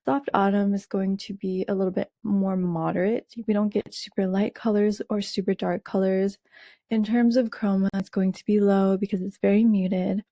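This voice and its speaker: A woman with a slightly raspy voice hosting a color analysis lesson, explaining in a measured, friendly way with some fillers and restarts. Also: mid-range voice, little pitch movement, mellow, no room sound, clean studio sound. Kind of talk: explaining over a slideshow.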